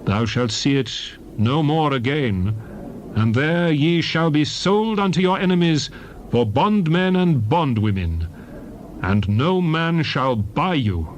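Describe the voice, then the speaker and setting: A stern male prophet, acted by a man, exhorts his people in solemn, emphatic biblical oratory. The pitch is middling and the delivery measured and declamatory, as in a dramatized scripture recording. Faint noise and ambience sit behind the voice. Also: lively intonation. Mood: grave warning. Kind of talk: dramatized King James Bible reading, declamatory oratory.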